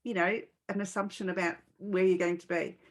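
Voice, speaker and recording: Female podcast host, a little low in pitch, casual and halting conversational delivery. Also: level tone. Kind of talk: casual interview chat.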